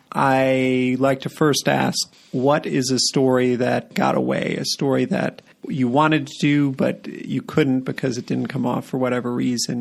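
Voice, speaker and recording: A man hosting a podcast interview, asking relaxed questions and giving short encouraging replies. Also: medium pitch, vocal fry, slightly rough.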